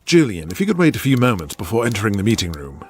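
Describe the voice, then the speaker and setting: A man's voice: on the low side, very animated, fast, breathy edge, soft background music, exaggerated voice. A man voicing a prim, businesslike British executive in an audio drama. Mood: formal, composed.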